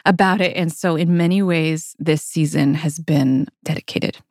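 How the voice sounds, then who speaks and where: A woman's voice: sits low, fairly even intonation, moderate pace, a little mellow, no echo, clean studio sound. A woman co-hosting a podcast, talking casually in an earnest, reflective storytelling way. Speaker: podcast co-host.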